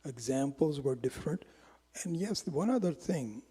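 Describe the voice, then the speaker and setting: A man whose first language is Hindi, giving an informal spiritual lecture on Vedanta in a reflective, unhurried way, with frequent pauses and restarts. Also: fairly high pitch, lively intonation, dark tone, breathy edge, in a large hall. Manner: reflective and unhurried, pausing often with restarts and fillers. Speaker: Vedanta teacher.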